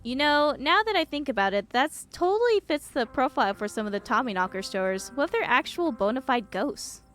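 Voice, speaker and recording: A woman's voice: high voice, big pitch swings, brisk, fairly bright tone. A woman voicing an earnest, loyal and curious young woman from a visual novel. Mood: pondering. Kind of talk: visual novel character reading. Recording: music behind the voice.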